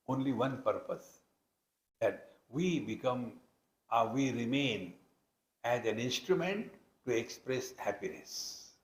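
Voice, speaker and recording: An echoing, roomy recording carries a man's mid-range voice with an Indian accent. He speaks as a swami teaching scripture, conversational in tone, leaning on and stretching key phrases for emphasis. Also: medium pitch. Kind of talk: spiritual discourse expounding scripture. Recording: roomy, echoing hall sound.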